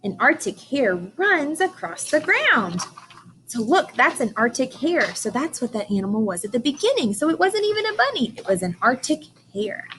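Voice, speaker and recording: Animated woman teaching young children. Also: high-pitched, moderate pace, a little hiss or hum. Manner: animated, emphatic, addresses young children simply. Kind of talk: children's read-aloud lesson with explanations and questions.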